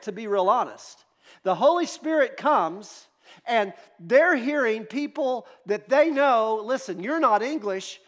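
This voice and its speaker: A man with a high voice preaching from the pulpit. He speaks in an emphatic, animated way, doubling back on words as he presses each point home. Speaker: pastor. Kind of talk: sermon.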